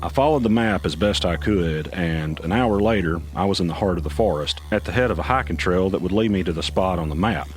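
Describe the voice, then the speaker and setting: A man's voice: deep voice, fairly quick, hardly any pauses, natural voice. An actor playing a brooding protagonist, narrating his story in scripted first person for an audio drama. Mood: brooding, tense. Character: brooding male protagonist recounting his flight.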